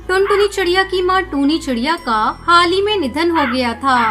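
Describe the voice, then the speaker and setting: A woman reading scripted narration for a children's cartoon story. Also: very high-pitched. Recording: music under the narration.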